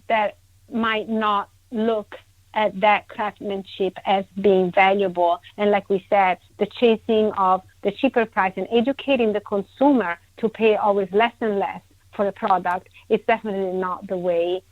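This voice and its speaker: A woman from Italy, a guest in a conversational interview, emphatic and quick to repeat her agreement. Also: mid-range voice, no echo. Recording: tinny phone-line sound, dry.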